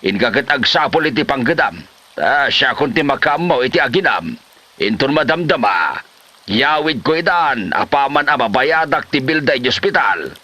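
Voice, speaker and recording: A man's voice: mid-range voice, animated, moderate pace, bright, forceful tone. A man with a Filipino Ilocano accent playing an exasperated older father who scolds his lazy son in a comedy drama. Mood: exasperated scolding.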